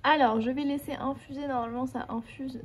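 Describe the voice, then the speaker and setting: A woman with a stuffy, hoarse voice from a cold, chatting casually in a book vlog and rambling with lots of hesitations. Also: sits high, fairly even intonation, fairly quick, background noise. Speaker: book vlogger.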